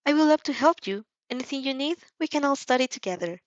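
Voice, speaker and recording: A woman's voice: high voice, animated, quick pace, no echo, highs cut off, pitched above the performer's own voice. A woman voicing a polite, earnest teenage schoolgirl with a courteous, eager manner in an audio fan fiction. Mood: gentle, eager.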